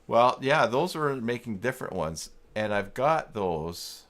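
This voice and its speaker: A man hosting a casual live tech tutorial, thinking aloud in a halting way and muttering to himself as he works. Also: mid-range voice, big pitch swings, a bit bright. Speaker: live stream host giving web tutorials.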